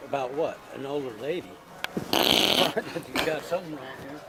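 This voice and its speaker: A man's voice: medium pitch, normal speed, low-quality recording. An older man speaking spontaneously, impatient and exasperated. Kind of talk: spontaneous conversation. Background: music.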